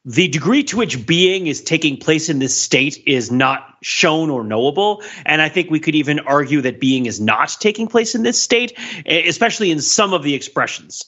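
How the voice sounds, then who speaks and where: A man delivers analytical podcast commentary. His voice is fairly high and bright, with a forceful edge, on a slightly dull recording. He phrases things deliberately, like a mock lecture, checking in with rhetorical tags. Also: animated. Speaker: podcast panelist.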